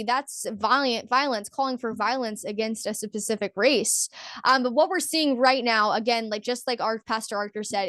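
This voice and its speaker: A woman's voice: a little high, fairly bright tone, dry recording, studio quality. Eager young woman hosting a podcast. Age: young adult. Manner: eager, upbeat, earnest. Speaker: podcast host.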